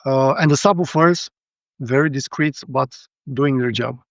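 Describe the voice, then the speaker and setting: A man with a Romanian accent speaks in a gravelly, creaky voice at a middling pitch. He gives a casual technical rundown in a halting, hesitant way. The sound is dull and lo-fi, with very little room around it. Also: dry recording. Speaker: guest, hi-fi show visitor.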